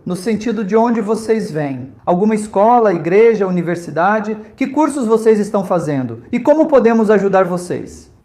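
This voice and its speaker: A man's voice: high-pitched, a little mellow. A man from India speaking as a volunteer host, giving an informal, conversational talk to visitors and often checking in with his listeners.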